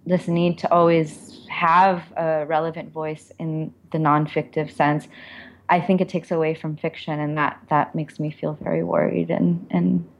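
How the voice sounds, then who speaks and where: A woman's voice: deep voice, level tone, unhurried, creaky, dull audio. A woman author being interviewed, speaking candidly and informally in a reflective way, with lots of "like" and "you know".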